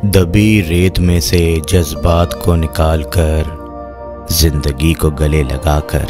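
Deep-voiced man narrating over music. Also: fairly even intonation. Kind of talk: scripted poetic narration.

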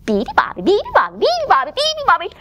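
A tiny baby bird from a children's storybook, voiced by a woman reader. It is chirpy and cheerful, pitched very high. Its sing-song delivery bounces up and down. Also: wildly swooping pitch, rapid-fire, sharp tone, full character voice. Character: tiny cheerful wide-awake baby bird, singing relentlessly.

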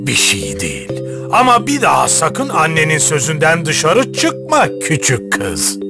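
Bold, wildly animated hunter. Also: male voice, on the high side, measured pace, music in the background, full character voice. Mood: kindly scolding. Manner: hearty, emphatic. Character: burly, bold, protective forest hunter. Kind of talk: children's cartoon fairy tale acting.

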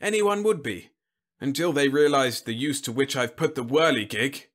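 A man's voice: on the high side, lively intonation, a little slow, a bit bright, voice pushed up. A man voicing a big, overconfident, bullying army general in an audiobook story, speaking in an emphatic, blustering, snapping way. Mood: chummy, assured. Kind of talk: audiobook character acting.

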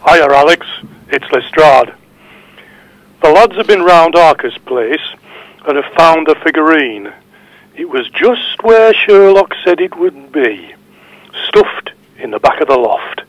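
A man playing a friendly, matey police inspector calling in to a show in an audio drama, reporting in a measured way. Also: on the high side, animated, no room sound, rough recording. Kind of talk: audio drama phone-in dialogue.

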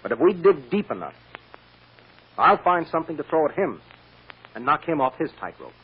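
An actor playing a firm, shrewd defense lawyer with a blunt, emphatic manner in an old-time radio drama. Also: male voice, fairly high pitch, big pitch swings, moderate pace, husky, exaggerated voice. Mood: determined. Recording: muffled, hissy old radio recording.

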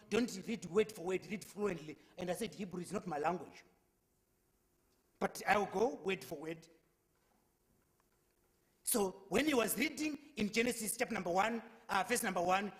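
High-voiced young man preaching at a lectern. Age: young adult. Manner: earnest, quotes verses at length, repeats words when restarting. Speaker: preacher in a public debate. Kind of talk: scripture-quoting debate address. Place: lecture hall, at a lectern before a brick wall. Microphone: lectern microphone.

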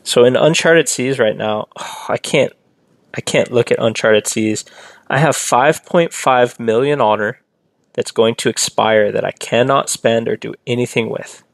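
Male game streamer, casual chatty play-by-play, gravelly edge, dry close sound, talks to chat. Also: mid-range voice, measured pace, no room sound. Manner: chatty, reads and answers chat, rambling asides. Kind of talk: casual live-stream commentary.

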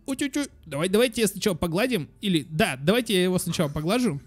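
A man with a high-pitched voice hosts a children's gaming video. He comments casually on the gameplay as he goes. His manner is excitable and affectionate, slipping into cutesy baby talk. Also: very fast.

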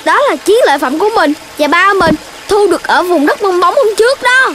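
A woman's voice: very high voice, expressive, brisk, a bit bright, a little hiss or hum. A woman voicing a young, sensible cartoon puppy in a children's show, earnest and instructive, with a Southern Vietnamese accent. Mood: proud, cheerful. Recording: music behind the voice.

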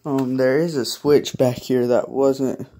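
A man talks slowly and casually at a middling pitch, as a hobbyist vlogger would. He rambles and hesitates, often letting his sentences trail off, recorded on a handheld camera in a small bedroom. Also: fairly even intonation, mellow. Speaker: hobbyist vlogger showing a collection. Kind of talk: casual rambling chat to viewers. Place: small carpeted bedroom, indoors. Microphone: handheld phone or camera held by the speaker.

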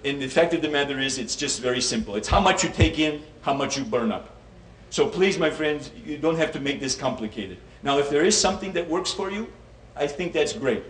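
A man's voice: on the high side, animated, a little fast, slight background noise. A middle-aged man with a Polish accent gives a comedic health talk to an audience, joking and calling them "my friends". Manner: jokey, addresses the audience as "my friends". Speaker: health educator. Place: meeting room with a seated audience. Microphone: stand mic at a distance. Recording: roomy hall, distant mic, music behind.

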